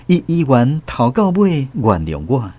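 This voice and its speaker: Slow, expressive Taiwanese male storyteller. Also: mid-range voice. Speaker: radio drama narrator. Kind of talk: scripted literary narration.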